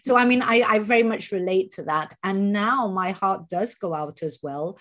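A woman in her fifties hosting an interview podcast, talking in an animated way and often restarting her sentences. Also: medium pitch, normal speed. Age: 50s. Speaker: podcast host. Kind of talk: conversational interview.

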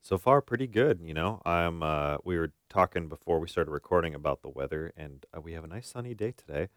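A man's voice: low-pitched, expressive, fairly quick, no echo, clean studio sound. An American man co-hosting a podcast, chatting casually with informal, folksy phrasing. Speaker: podcast co-host and practicing therapist. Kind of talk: casual conversational podcast chat.

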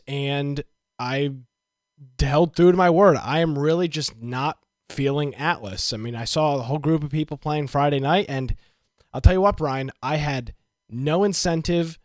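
A man's voice: fairly high pitch, no echo, clean studio sound. A man hosting a gaming podcast, talking in upbeat, teasing casual chat. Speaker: podcast host.

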